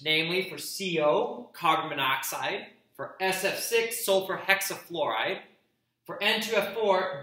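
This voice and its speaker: Bright-voiced man teaching chemistry. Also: high-pitched. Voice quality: brisk, explanatory, casual asides. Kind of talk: recorded classroom lecture. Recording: echoey room.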